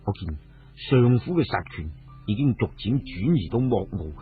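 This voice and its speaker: A man voicing a grave ancient warrior in a storybook tale, solemn as he reports danger. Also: big pitch swings. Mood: grave, urgent. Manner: solemn, measured, low and hushed. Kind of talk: storybook character dialogue. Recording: tinny narrow-band radio sound, music behind.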